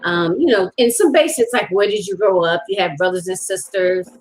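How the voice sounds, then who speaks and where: A woman hosting an online talk show, greeting her audience warmly and asking her guest chatty questions. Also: fairly low pitch, fairly quick, a little hiss or hum, low-quality recording. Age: older than guest. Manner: upbeat, welcoming, chatty.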